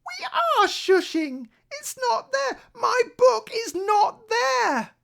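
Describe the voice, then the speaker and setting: Storybook little girl: very high, wildly animated, insistent, stubborn and excitable. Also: slow pace, creaky, shouting, full character voice. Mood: upset, insistent. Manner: childlike, emphatic, insistent. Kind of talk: children's storybook read aloud.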